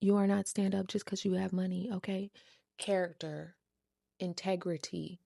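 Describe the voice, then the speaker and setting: A woman with an American accent telling a story casually, with a sassy, animated, emphatic and slangy delivery. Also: fairly low pitch, moderate pace, gravelly, dry recording, clean studio sound. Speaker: storytime show host. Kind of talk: casual storytelling, acting out quoted conversations.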